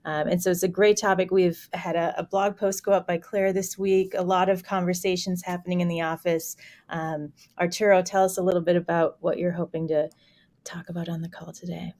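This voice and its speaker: A woman's voice: lowish voice, little pitch movement, fairly quick, darkish tone. A woman hosting a casual panel, introducing the team and the discussion. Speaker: host.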